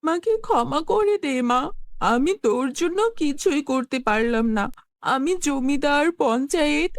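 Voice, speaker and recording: A woman's voice: high-pitched, gravelly, dry recording, clean studio sound, exaggerated voice. A woman playing a loving, worried village mother in a cartoon fairy tale, speaking with earnest emotion.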